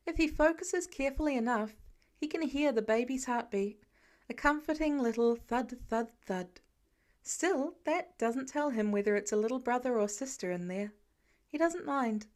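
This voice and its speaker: A woman's voice: on the high side, normal speed, a little mellow. A woman reading fiction aloud as an amateur audiobook narrator, in scripted storytelling style.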